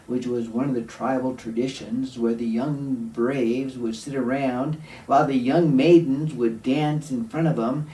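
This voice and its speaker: A man tells a folktale to children at an unhurried pace, pausing for effect. His voice sits in an echoey room, some way from the microphone, with faint noise behind it. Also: medium pitch, dark tone. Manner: deliberate, with dramatic pauses and questions to the listener. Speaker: storyteller. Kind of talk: oral storytelling to children. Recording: roomy echo, distant mic, faint noise.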